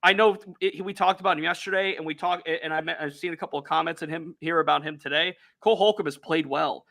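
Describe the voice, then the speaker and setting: Man, Youngstown accent, bright and slightly high, upbeat co-host running a sports podcast. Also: fast talker, vocal fry. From Northeast Ohio. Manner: energetic, reads out viewer comments.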